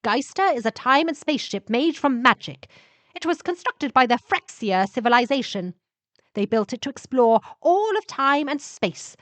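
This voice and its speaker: A woman's voice: sits high, lively intonation, normal speed, dry recording, pitched above the performer's own voice. A woman voicing a proud, somewhat arrogant male vampire professor who explains things emphatically in a sci-fi story. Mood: explaining.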